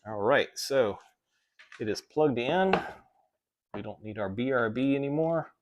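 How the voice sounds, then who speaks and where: A man's voice: mid-range voice, animated, measured pace, muffled recording. A man with a Southern US accent hosting a casual tech livestream, rambling, correcting himself and reading viewer chat aloud. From American South. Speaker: livestream host. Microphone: desk microphone.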